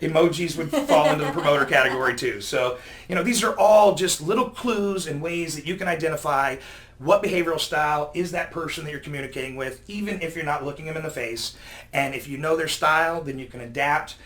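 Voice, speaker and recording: A man's voice: a little high, brisk, a bit bright. A man explaining communication tips as a guest expert, in an emphatic, instructive way.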